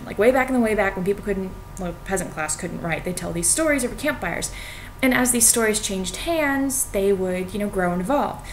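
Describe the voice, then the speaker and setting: A woman's voice: mid-range voice, a little hiss or hum, rough recording. A young adult woman gives an informal explanatory talk to viewers in a conversational, self-deprecating way with colloquial asides. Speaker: student making educational videos.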